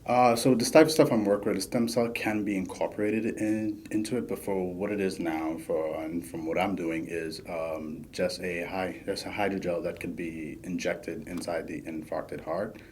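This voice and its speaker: A man, a podcast guest talking casually with many pauses and fillers. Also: sits low, slight background noise. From Bahamas. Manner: relaxed, many fillers while thinking. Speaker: podcast guest, PhD student. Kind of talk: casual interview chat.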